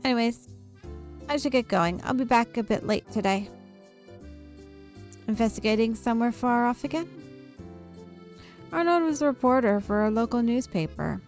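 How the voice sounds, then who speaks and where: Female game streamer, expressive story reader, casual commentary, music in the background. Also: a little high, normal speed, pauses often. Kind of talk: reading visual novel text aloud with casual asides.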